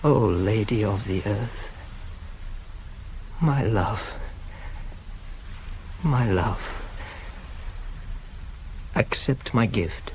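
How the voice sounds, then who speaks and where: Devout chaste young hunter, slow, reverent, incantatory, long pauses, classical radio play, faint tape hiss. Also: male voice, fairly low pitch, darkish tone, rough recording. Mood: rapturous yearning. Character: devout chaste young male hunter, rapt goddess worshipper. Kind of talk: classical verse radio drama. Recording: hissy 1980s cassette of radio play.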